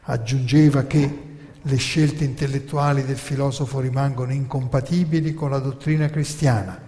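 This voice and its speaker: A man narrates from a script with formal, measured, rhetorical phrasing. His voice is mellow and of medium pitch, ringing with the long reverb of a hall. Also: fairly even intonation. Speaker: narrator and presenter. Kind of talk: scripted stage narration, quoting documents.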